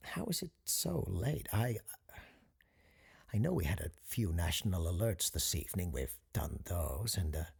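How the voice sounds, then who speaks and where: Gravelly, halting radio paranormal host. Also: male voice, sits low, heavily acted. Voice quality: gravelly, husky. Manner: halting, stammering, apologetic. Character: flustered, forgetful male parapsychologist hosting a paranormal radio call-in. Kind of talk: audio drama radio show acting.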